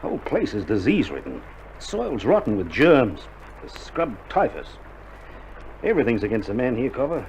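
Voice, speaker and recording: An actor playing a weary, dry-humoured Australian army doctor in a wartime radio drama, speaking in a colloquial, matter-of-fact way. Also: male voice, mid-range voice, sing-song, moderate pace. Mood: grim. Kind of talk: recorded radio drama acting. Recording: dull vintage vinyl recording, loud noise.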